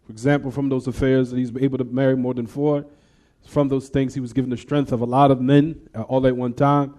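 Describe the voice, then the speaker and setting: Man, religious teacher, quick delivery, mellow dark voice, quizzes the class. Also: medium pitch, flat delivery. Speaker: Islamic teacher. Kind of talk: classroom hadith lesson.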